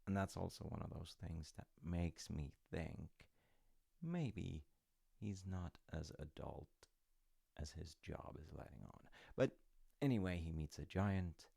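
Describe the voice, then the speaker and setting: A man playing a gentle, wryly amused dad who tells his kid a folktale in an unhurried, musing, conversational way for an audio roleplay. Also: low-pitched, animated, creaky, slightly rough, no room sound, clean studio sound, heavily acted. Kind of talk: audio roleplay, rambling bedtime-style storytelling.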